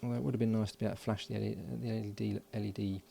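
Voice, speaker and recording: A man talking himself through a hands-on electronics project, halting and thinking aloud with frequent restarts. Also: lowish voice, flat delivery, creaky, mellow, slight background noise. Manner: halting, muttering, many restarts and fillers. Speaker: electronics hobbyist.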